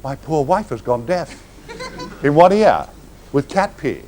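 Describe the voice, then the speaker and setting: Male raconteur, lavish vocabulary, lively swooping delivery, comic pauses. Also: a little hiss or hum. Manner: grand, florid wording with comic timing and sudden swings in pitch. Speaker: actor telling stories on stage. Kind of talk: theatrical anecdote to a live audience. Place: stage before an audience.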